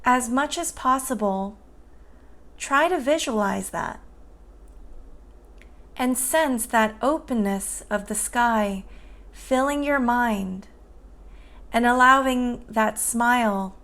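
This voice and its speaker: A woman guiding a meditation in a soothing, gentle and unhurried manner. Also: fairly high pitch. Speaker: meditation guide. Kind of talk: guided meditation narration.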